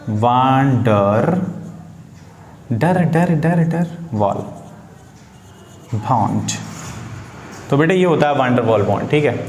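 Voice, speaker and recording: A man's voice: a little high, a little mellow. Man, informal teacher, repeats key words, self-answered questions, English terms mixed in, roomy echo, faint noise. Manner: mixes Hindi with English technical terms. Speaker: chemistry teacher. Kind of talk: informal classroom-style lesson for students.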